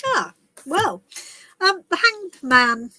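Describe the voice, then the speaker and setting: A woman's voice: extremely high pitch, big pitch swings, extremely slow, pushed and bright, dry recording, poor audio. A woman with a head cold giving chatty, rambling tarot readings on a live stream while travelling. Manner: chatty and rambling, with many ums and restarts. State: has a head cold, stuffy and coughing. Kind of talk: casual live-stream chat and card reading.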